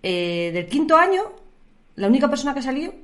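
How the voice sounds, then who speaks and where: A woman's voice: high voice, big pitch swings, fairly quick. A middle-aged woman from Spain with a Spanish accent, a podcast guest telling her life story in casual conversation, chatty and colloquial, laughing now and then.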